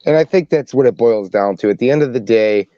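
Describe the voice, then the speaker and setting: A man in a casual group discussion, rambling as he thinks out loud. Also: mid-range voice, brisk, no echo, dull audio. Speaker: podcast panelist.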